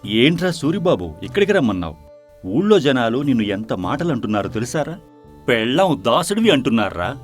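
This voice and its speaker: Indignant villager for story drama, male voice actor: medium pitch, very animated, scolding but with some pity, over music. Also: fairly quick, close to an everyday voice. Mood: puzzled, reproachful. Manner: emphatic, reproachful questioning. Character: indignant village man, blunt and scolding yet pitying. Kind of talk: dramatized moral story acting. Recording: music behind the voice.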